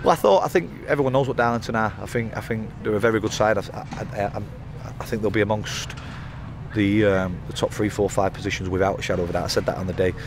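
A man in his thirties, a football manager giving a post-match interview outdoors by the pitch at night, talking in rambling runs full of fillers and restarts. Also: fast talker. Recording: outdoor interview with loud background noise.

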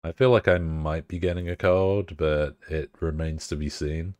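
Deep-voiced, swearing male game streamer. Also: steady tone, normal speed, a little mellow, clear, resonant tone, dry recording. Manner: profane, laid-back banter with chat. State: congested, bunged up. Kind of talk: casual live-stream chat.

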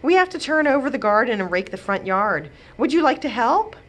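Woman reading as a sweet grandma who drones like a zombie, bright voice. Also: on the high side, big pitch swings, brisk, voice pushed up. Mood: gentle.

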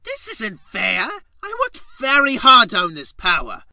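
A small, whiny cartoon monster villain, full of spite. The voice is harsh and very bright, swinging widely in pitch, recorded dry. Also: female voice, huge pitch swings, unhurried, creaky, dry recording, heavily acted. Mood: bitter, gloating. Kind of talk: fan comic dub voice acting.

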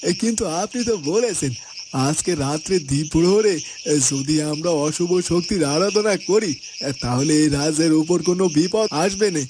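A man's voice: high voice, moderate pace, noisy background, lightly acted. A man playing an alarmed, deferential subject of a king in a fairy-tale cartoon, questioning hesitantly. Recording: night insect ambience behind.

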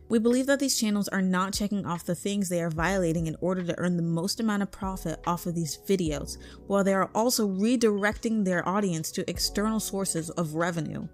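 A woman narrates a scripted video essay. Her delivery is emphatic and openly critical, and her voice sits at a middling pitch. Music plays underneath.

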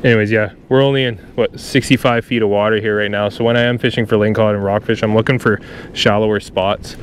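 A man's voice: lowish voice, steady tone, fast. A man vlogging from his kayak on open water, chatting casually to viewers while he fishes. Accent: Canadian. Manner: laid-back, chatty, talks to viewers as he fishes. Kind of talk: casual on-water narration to camera. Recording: clear outdoor water and wind noise. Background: wind and water noise.

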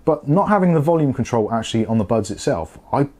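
A man's voice: mid-range voice, lively intonation, fast, a little mellow. A British man in his forties giving a casual, conversational product review to camera, explaining things as he goes. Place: small home studio room, indoors.

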